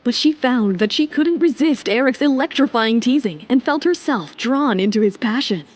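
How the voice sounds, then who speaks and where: A woman's voice: on the high side, lively intonation, normal speed. A woman reading scripted, dramatic narration for a serialized romance audio story. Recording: music under the voice.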